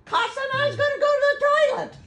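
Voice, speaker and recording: A woman's voice: extremely high pitch, lively intonation, quick pace, fairly bright tone. A woman in her mid-nineties chatting at home, blunt and feisty, swearing freely. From United States. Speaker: grandmother filmed for family social clips. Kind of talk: casual home chat. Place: living room, indoors. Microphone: phone camera a few feet away. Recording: roomy, echoing home phone video.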